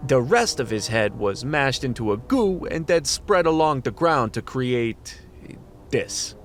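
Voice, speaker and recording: An actor playing a world-weary, semi-retired goblin detective in a radio play, with wry hardboiled noir delivery. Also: male voice, mid-range voice, sing-song, a little slow, faint music behind. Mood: analytical.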